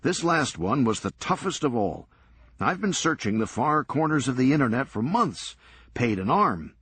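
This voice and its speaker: Guy doing a hyper excitable dude, higher pitched, husky, all over the place. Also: no echo. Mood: proud. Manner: rapid, giddy, swinging wildly between moods. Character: manic excitable grown man, obsessive collector.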